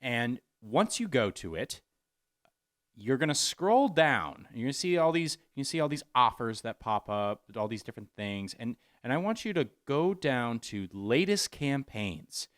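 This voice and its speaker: Guy doing a casual promo walkthrough, animated intonation, explains stuff step by step. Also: mid-range voice, measured pace. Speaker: campaign spokesperson. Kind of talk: informal promotional announcement with instructions.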